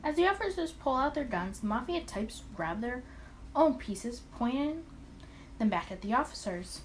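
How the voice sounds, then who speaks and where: A woman's voice: fairly high pitch, sing-song, normal speed. Woman reading aloud over background noise. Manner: stumbles over words and repeats them. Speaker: gaming video creator reading a visual novel aloud. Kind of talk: expressive read-aloud of a visual novel.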